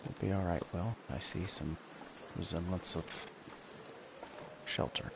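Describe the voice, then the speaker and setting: A laid-back man with a gravelly, soothing smoker's voice, giving casual commentary on a survival game as he plays. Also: deep voice, steady tone, unhurried. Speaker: game streamer. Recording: clearly noisy background.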